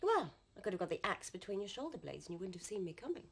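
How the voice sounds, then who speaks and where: A woman playing a sharp-tongued, determined TV journalist in a 1990s British video drama. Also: mid-range voice, very animated, quick pace, vocal fry, slightly rough, full character voice. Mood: reproachful. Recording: film soundtrack with faint background noise.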